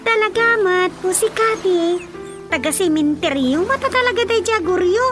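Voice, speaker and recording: A woman playing a high-voiced lovestruck daughter. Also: lively intonation, normal speed. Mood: pleading. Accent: Ilocano. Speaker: daughter in a radio drama. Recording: radio drama with music underneath.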